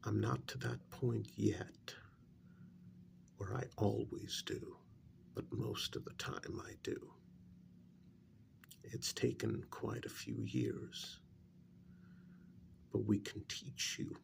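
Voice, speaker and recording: A man playing a calm, menacing veteran werewolf agent in a soft ASMR role-play, gravelly and often near a whisper, with deliberate pauses. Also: on the low side, a little hiss or hum, heavily acted. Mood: confiding, intense. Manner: slow, deliberate, pausing between short phrases.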